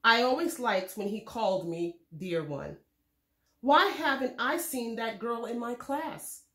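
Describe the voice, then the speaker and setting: A woman narrates a children's picture book in a fairly high voice. Her phrasing is measured and expressive, as in a read-aloud for young listeners. The recording has a clearly echoey, roomy sound. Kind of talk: scripted storybook narration for children.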